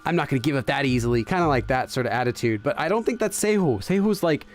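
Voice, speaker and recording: A man's voice: medium pitch, animated, quick pace, soft background music. A man hosting a gaming channel, thinking aloud in casual let's-play commentary and hesitating with fillers and restarts as he translates for himself. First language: English.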